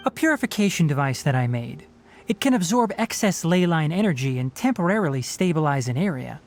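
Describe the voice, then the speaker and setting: A man voicing a measured, analytical young forest ranger in a video game. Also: fairly high pitch, very animated, over music. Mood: explaining.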